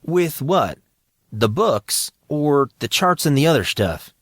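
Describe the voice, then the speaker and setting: A man voicing a calm, eccentric teenage boy genius in an audiobook, cheerful and cryptic in an assured way. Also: fairly high pitch, slow pace, dry recording, studio quality, pitched above the performer's own voice, heavily acted. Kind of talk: audiobook character dialogue.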